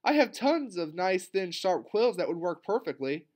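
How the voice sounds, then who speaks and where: A man's voice: high voice, brisk, voice pushed up, lightly acted. A man voicing a lonely, grumpy little boy porcupine in a children's storybook, exclaiming and plaintive. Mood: eager.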